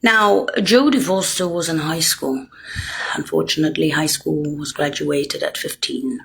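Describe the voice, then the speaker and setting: An older woman in a rambling monologue, lurching between hushed whispers and loud, emphatic bursts as she accuses her listeners directly. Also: deep voice, a little slow, vocal fry, a little hiss or hum, low-quality recording.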